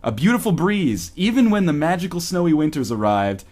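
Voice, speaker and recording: A man's voice: fairly high pitch, big pitch swings, fairly quick, darkish tone. A man reading a story aloud on a stream in a playful, mock-dramatic way with joking asides.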